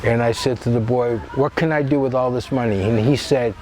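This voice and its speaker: An older man in his seventies with a Boston accent, telling a wry personal story in a conversational way, pausing often. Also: mid-range voice, steady tone, darkish tone, slight background noise, poor audio. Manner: conversational, wry, with many "uh" pauses.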